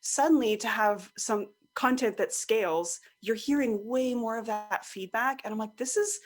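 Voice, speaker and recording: A woman's voice: on the high side, moderate pace. A woman talking casually as an interview guest, animatedly acting out imagined remarks as quotes. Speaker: podcast guest, online course creator and tutorial maker.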